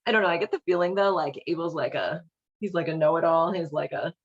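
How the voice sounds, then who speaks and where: Low-voiced sardonic woman, casual commentary. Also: breathy edge, dull audio. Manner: sardonic, halting, colloquial delivery with mock dialogue. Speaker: host of a video series on leaving religion. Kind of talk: casual, irreverent retelling and commentary.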